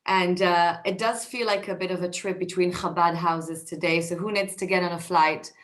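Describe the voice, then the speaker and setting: Israeli woman in her forties, slightly hoarse. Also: fairly low pitch, steady tone, normal speed, a bit bright. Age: 40s. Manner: reflective, many fillers and restarts. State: a bit hoarse from a cold. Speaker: guest speaker. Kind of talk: personal talk over a video call. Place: home basement. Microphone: video call.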